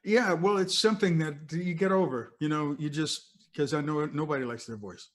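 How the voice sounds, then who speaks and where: Older male guest, veteran DJ voice, slightly high, casual and reflective. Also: moderate pace. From United States. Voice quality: radio DJ voice.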